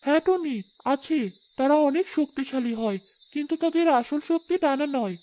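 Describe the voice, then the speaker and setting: A woman playing a gentle, self-doubting young fairy living as a human in a cartoon fairy tale, earnest and plaintive. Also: sits high, normal speed, lightly acted, low-quality recording. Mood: wistful.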